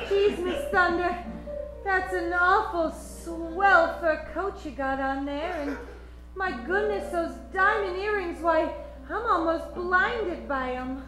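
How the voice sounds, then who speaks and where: Squeaky perky 1940s secretary, slow n gushing, naive and flirty, roomy radio play. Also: female voice. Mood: awed. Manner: breathless, gushing 1940s gee-whiz speech. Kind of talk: old-time radio detective parody acting.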